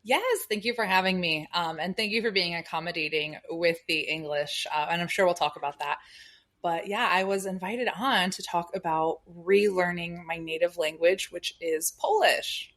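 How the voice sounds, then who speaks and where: A woman's voice: fairly low pitch, moderate pace, a bit bright. A young adult American woman, a podcast guest, explaining things thoughtfully with some fillers. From United States. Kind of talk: conversational interview.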